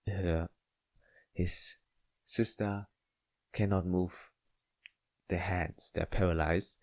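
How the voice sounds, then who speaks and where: Slow, halting man narrating a game. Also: low-pitched, a little mellow, dry recording. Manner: halting, stumbles and repeats words while reading. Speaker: gaming video host playing a visual novel. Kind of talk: casual let's play commentary with read-aloud story text.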